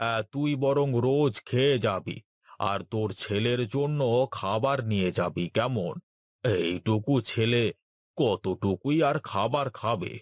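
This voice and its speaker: A man's voice: medium pitch, measured pace, dry recording, studio quality, natural voice. A man voicing a lazy, easily flustered village landowner in a cartoon, with a blustering, exclamatory delivery. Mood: genial, pleased. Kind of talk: Bengali cartoon voice acting.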